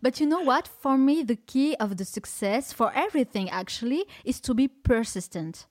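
French lady podcast host, french accent, kinda high voice, dry close mic. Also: lively intonation, moderate pace, a little mellow, no room sound. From France. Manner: encouraging, non-native phrasing with small slips. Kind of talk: casual interview in English.

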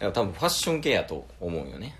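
A man's voice: low-pitched, huge pitch swings, fast talker, fairly bright tone, heavily acted. A man acting a proud, competitive contestant rival in an amateur radio drama, talking bluntly and informally with a Kansai accent. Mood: bantering. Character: competitive older male rival on a dating show, proud and determined.

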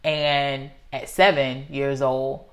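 A woman's voice: extremely low pitch, level tone, takes it slowly. A woman in her thirties talking earnestly and reflectively in a casual conversation, sometimes acting out the voices she quotes. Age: 30s.

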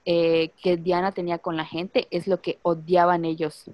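Wry woman, low voice, dry sound. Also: level tone, moderate pace, no echo, dull audio. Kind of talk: casual chat.

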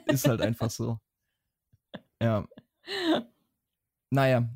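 A young man with a Swabian accent talks casually on a podcast, rambling along and often restarting his sentences. His voice sits at a middling pitch and is recorded dry and close, with no room sound. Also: huge pitch swings, slow, gravelly, a little mellow, no room sound. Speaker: podcast co-host.

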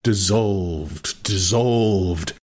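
A man narrating an audiobook in a measured, dramatic style. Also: low-pitched, a little mellow.

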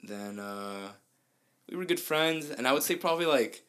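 A young man from New Mexico with a slight Mexican Spanish accent, co-hosting a casual podcast in a laid-back, soft-spoken way. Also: mid-range voice, lively intonation, normal speed. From New Mexico, USA. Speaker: podcast co-host. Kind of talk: casual chat.

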